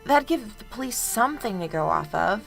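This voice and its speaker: A woman playing a gentle, concerned friend. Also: mid-range voice, animated, fairly quick, gravelly, faint music behind. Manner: gentle, earnest, soft-spoken. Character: concerned young female college student friend. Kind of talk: amateur radio drama acting.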